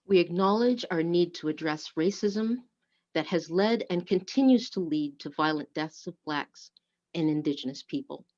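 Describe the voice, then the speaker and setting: Low-voiced woman leading worship. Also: normal speed, darkish tone. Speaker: worship service leader. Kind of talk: church service address and poetry reading. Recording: dull, lo-fi online audio.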